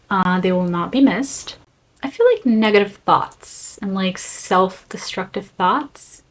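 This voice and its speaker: Young woman. Mid pitch, candid and earnest, halting phrasing. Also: low-quality recording. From Brazil. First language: Portuguese. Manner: earnest, reflective, speaks in short halting phrases. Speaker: vlogger. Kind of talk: candid personal reflection to camera.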